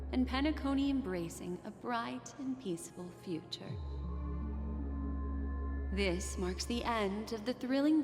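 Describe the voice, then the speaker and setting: An actress playing an enigmatic, composed woman guide in a video game, speaking in a measured, deliberate and coy way. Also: mid-range voice, natural voice. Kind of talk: dramatic video game narration. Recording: long reverb, music behind.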